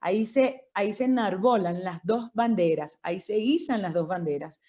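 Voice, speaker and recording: A woman's voice: medium pitch, moderate pace. Passionate Venezuelan woman, lo-fi sound. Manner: passionate, animated storyteller. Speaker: guest, founder of a migrant children's group. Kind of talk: interview storytelling. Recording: dull lo-fi sound.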